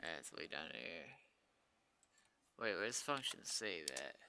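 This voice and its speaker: A man's voice: sits low, sing-song, slow, sharp tone, a little hiss or hum. A man thinking aloud casually in short fragments as he works through programming on a development stream. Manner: muttering to himself in short fragments. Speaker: game developer streaming coding work.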